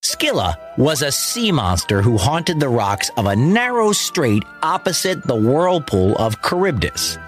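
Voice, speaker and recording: A man's voice: medium pitch, very animated, a little slow, few pauses, faint music behind. A man narrating a scripted myth for children in a dramatic, playful manner. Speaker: storyteller, host.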